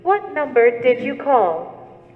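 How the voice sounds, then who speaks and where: A woman's voice: sits high, big pitch swings, takes it slowly, heavily acted. A woman playing a politely unhelpful telephone operator in an old-time radio thriller, formulaic, on a phone line. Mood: formal, unmoved. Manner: formulaic, sing-song courtesy. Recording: noisy thin phone line, echoey hall reverb.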